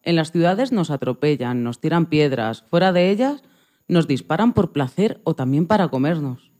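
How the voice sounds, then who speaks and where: A man reads a first-person story from a script. His voice is fairly high for a man. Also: animated, normal speed, darkish tone.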